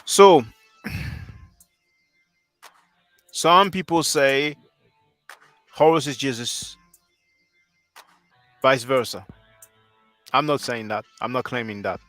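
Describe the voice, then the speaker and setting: A man's voice: medium pitch, takes it slowly, long pauses, a bit bright, dry recording, soft background music. A man in his thirties whose first language is French, hosting a livestream and lecturing earnestly while answering chat on a headset mic. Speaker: livestream host.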